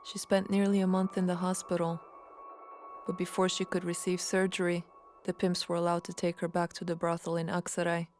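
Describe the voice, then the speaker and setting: A woman narrates a documentary in a steady, grave voice. Her pitch sits a touch low and barely moves, and clear music plays beneath her. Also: a little fast.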